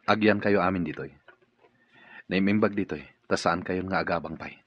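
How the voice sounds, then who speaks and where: Deep, husky, kindly mansion master. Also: male voice, moderate pace. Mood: protective, resolute. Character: kindly, grateful, protective master of a mansion, recovering. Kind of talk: radio drama acting. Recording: dull, lo-fi audio.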